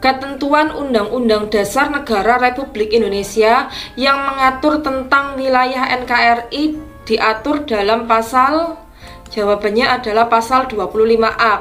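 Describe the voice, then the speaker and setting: A woman teacher going through practice exam questions, explaining each answer slowly and deliberately. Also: fairly high pitch, fairly bright tone.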